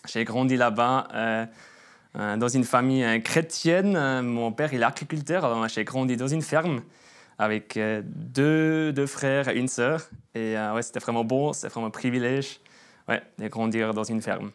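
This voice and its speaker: A man's voice: mid-range voice, moderate pace, clear, resonant tone. Hesitant young man, Swiss German accent. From Emmental, Bern, Switzerland. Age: 20s. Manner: hesitant, many fillers and restarts. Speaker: interviewee giving a church testimony. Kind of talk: testimony interview.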